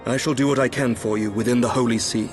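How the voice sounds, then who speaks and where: A man performs a noble lord commander in a fantasy game. His mid-pitched voice is dignified and deliberate, gracious yet used to giving orders, with archaic courtly wording over soft music. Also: fairly even intonation, lightly acted. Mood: thoughtful, resolved. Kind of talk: scripted fantasy game dialogue, archaic formal English.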